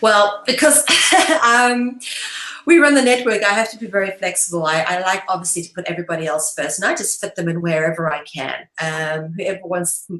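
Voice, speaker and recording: A woman's voice: lowish voice, steady tone, brisk, fairly bright tone. A woman being interviewed, explaining her ideas at length in an earnest, emphatic, lecturing way. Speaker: interview guest, online show presenter. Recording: echoey room.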